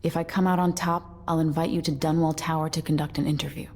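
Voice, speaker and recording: A woman's voice: deep voice, level tone, fast talker, darkish tone, a little hiss or hum. A woman voicing a grave, determined young deposed empress in a video game, firm and controlled. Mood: resolute. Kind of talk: scripted video game dialogue.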